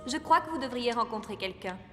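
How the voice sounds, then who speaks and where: A woman's voice: fairly high pitch, animated, a little fast, over loud music, natural voice. A woman playing an ambitious young science student in a cartoon, talkative and self-assured, with long reverb. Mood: confident. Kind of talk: dubbed cartoon acting.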